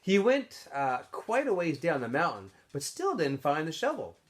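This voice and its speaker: A man reading a story aloud like a teacher to students, in expressive narration. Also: fairly high pitch, normal speed.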